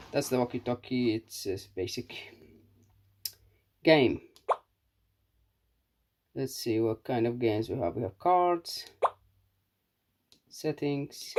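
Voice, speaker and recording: A man giving a casual, unscripted product demonstration as a reviewer, hesitant and thinking aloud as he goes. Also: medium pitch. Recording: clearly noisy background with clicks.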